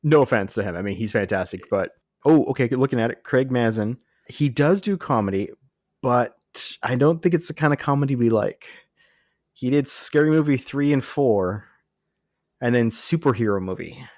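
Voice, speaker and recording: A man with a mid-pitched voice chats casually as a podcast co-host. He tells things in a jokey way, with little exclamations along the way. The sound is dry, with hardly any room on it. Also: lively intonation, moderate pace, a little mellow, dry recording. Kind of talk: casual film and TV news chat.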